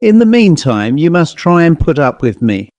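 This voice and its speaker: A man's voice: on the high side, very animated, moderate pace, darkish tone, no room sound, lo-fi sound, pitched above the performer's own voice. A man voicing a self-possessed, sly fifteen-year-old girl in a storybook, with a poised, confiding manner. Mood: poised, probing.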